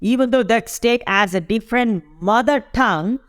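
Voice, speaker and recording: A podcast host in their fifties with a South Indian English accent, speaking Tamil as a first language. The voice is smooth and resonant, with a dry, close sound and no room around it. The delivery is slow and wandering, full of restarts and corrections as the host works toward each point. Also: medium pitch, no room sound. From Tamil Nadu, India. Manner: rambling, repeats and corrects itself, slow deliberate phrasing. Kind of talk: motivational podcast monologue.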